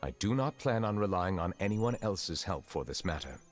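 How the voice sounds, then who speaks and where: A grave fantasy game magistrate with an extremely low voice. He is formal and reserved, choosing stately words with measured care. Faint music plays behind him. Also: expressive. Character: solemn, formal male chief justice, centuries old, reserved. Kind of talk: fantasy video game voice acting.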